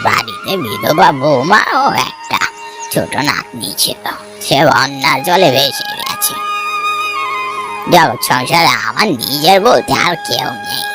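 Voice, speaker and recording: A performer voicing an elderly bereaved man in a cartoon story, gentle, generous and fatherly, with a solemn, kindly delivery. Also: medium pitch, huge pitch swings, unhurried, music in the background, low-quality recording. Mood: grieving.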